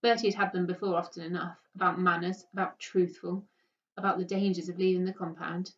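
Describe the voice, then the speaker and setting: A woman reading a children's story aloud with expressive narration. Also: lowish voice, brisk. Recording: dull, distant microphone.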